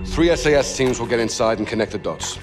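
An actor playing a brisk, authoritative military officer delivering mission briefings in a game. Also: male voice, medium pitch, animated, music in the background, close to an everyday voice. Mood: businesslike, urgent.

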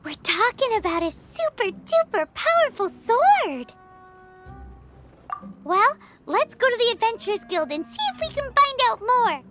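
A woman voicing a tiny, excitable, childlike fairy companion in a video game, squeaky, bubbly and boastful. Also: a little slow, heavily acted. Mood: eager. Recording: game music behind the voice.

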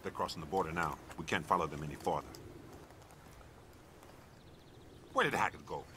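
A man playing a dry, steady, dutiful army sergeant who gives terse orders in a war video game. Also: a bass voice, rapid-fire, noisy background. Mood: grim. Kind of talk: video game military dialogue.

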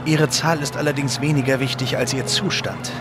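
A man's voice: mid-range voice, steady tone, normal speed, over music, lightly acted. An actor playing a grave, knowledgeable, remorseful time traveller in a Victorian audio drama, speaking earnestly as he explains. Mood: earnest, warning. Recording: clear outdoor vehicle noise behind.

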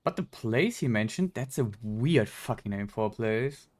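A man's voice: mid-range voice, expressive, normal speed, darkish tone. A young man streaming a game indoors, giving casual, offhand, blunt live reactions. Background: faint game music.

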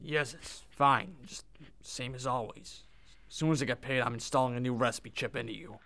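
An actor playing a brooding, weary werewolf warrior from space in a science-fiction audio drama, terse and grumbling. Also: male voice, medium pitch, fairly even intonation, moderate pace, gravelly, sharp tone. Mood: tired, curt. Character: brooding battle-worn alien werewolf warrior, defiant and weary.

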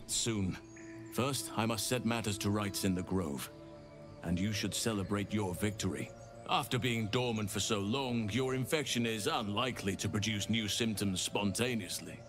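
Guy doing a stern solemn druid boss man, video game, low voice, bit of music under it. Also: lightly acted. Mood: calm, solemn. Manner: formal, measured, solemn. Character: stern, grave male druid leader, commanding yet grateful. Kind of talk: dramatic game dialogue.

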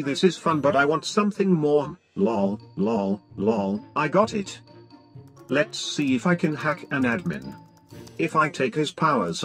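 A man playing a whiny, scheming hacker villain in an animated gaming roleplay, theatrical and given to internet slang. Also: on the high side, creaky, full character voice. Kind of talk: animated Minecraft kids roleplay acting.

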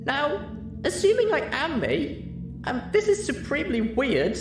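An earnest young mare from a storybook adventure, as voiced by a male narrator. The voice is pushed very high and swings about, strained and hesitant, with an anxious, rambling temper. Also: huge pitch swings, a little slow, reverberant, far from the mic, music in the background, heavily acted. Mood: awkward, instructing.

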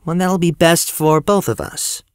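A woman's voice: lowish voice, fairly even intonation, measured pace, smooth, dry recording, clean studio sound, natural voice. A woman playing an earnest, protective young hero in an audio drama, speaking insistently.

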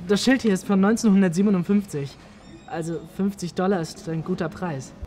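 A man's voice: high-pitched, steady tone, fairly quick, dark tone, lightly acted. A young man playing an earnest, awkward amateur detective in film dialogue, speaking politely and hesitantly. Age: young adult.

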